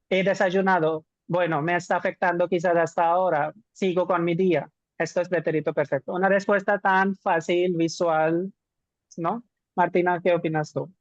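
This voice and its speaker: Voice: medium pitch, no echo. Teacher on a dull call. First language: Hindi. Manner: explanatory, poses rhetorical questions. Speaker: Spanish teacher. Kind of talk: live online teaching discussion. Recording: dull dry lo-fi call audio.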